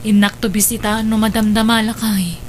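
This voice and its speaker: A woman playing a caring, steady wife and forgiving stepmother in an audio drama. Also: medium pitch, moderate pace, a little hiss or hum, lightly acted. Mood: gentle, caring. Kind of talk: Ilocano audio drama acting.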